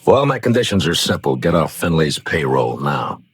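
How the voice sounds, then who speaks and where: Low, blunt frontier marshal. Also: male voice, very animated, moderate pace, husky, no echo, full character voice. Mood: dry, firm. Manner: dry, blunt, sardonic. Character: tough, unflinching frontier marshal of the Old West. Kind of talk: 1950s radio western acting.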